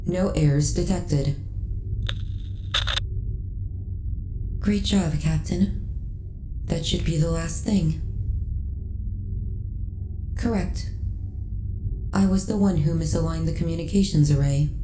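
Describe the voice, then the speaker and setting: A woman playing a lonely, devoted female starship AI in a soft ASMR sci-fi audio roleplay, speaking in measured, politely formal phrases. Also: deep voice, soft background music. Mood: formal, reporting. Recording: roomy echo, faint background noise.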